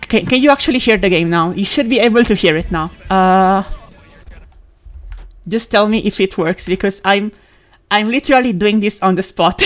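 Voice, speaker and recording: Woman chatting on a game stream. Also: medium pitch. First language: Italian. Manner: rambling, with many fillers, restarts and quick laughs. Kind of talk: casual live-stream chat while gaming.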